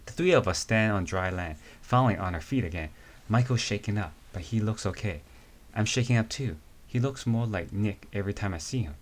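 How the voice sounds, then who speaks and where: A man reads in his own low voice as a wry woman soldier looking back on a tense rescue. The reading is plain and unpolished, with little change from his natural speech. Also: animated, fairly quick. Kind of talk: amateur dramatic reading of a comic.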